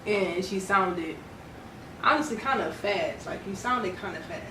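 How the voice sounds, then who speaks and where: Casting: young American female, medium pitch, casual storyteller, with clearly noisy, distant sound. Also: a little slow. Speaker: guest storyteller. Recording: roomy, noisy, distant mic.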